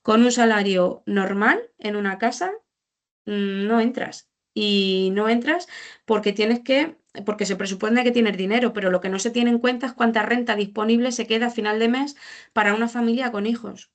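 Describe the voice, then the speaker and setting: A woman's voice: fairly high pitch, fairly even intonation, moderate pace. A woman presenting report findings informally, explaining hesitantly and often rephrasing or repeating her points. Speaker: social policy presenter.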